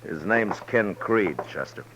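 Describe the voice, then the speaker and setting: A weary, principled marshal of the Old West with a very low voice. He talks plainly and firmly, in measured lines, as in a 1950s radio western. The recording is old, muffled and a little noisy. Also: lively intonation, full character voice. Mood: grave. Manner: measured, firm, plain-spoken.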